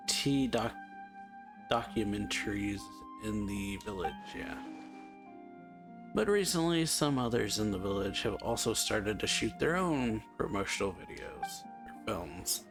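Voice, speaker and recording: A man doing a casual let's play, reading game dialogue aloud in a halting, offhand way. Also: on the low side. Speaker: let's player. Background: game music.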